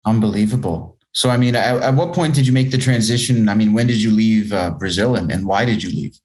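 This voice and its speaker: A man with a fairly low voice hosts a music interview. He talks casually and informally, affirming the guest as he works his way through questions. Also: a little fast, a little mellow. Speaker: interview host.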